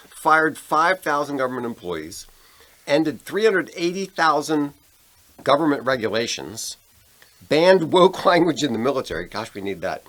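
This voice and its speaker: Lively American man talking politics. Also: fairly high pitch, measured pace, rough recording. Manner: opinionated, conversational. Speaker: podcast co-host. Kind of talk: casual political discussion.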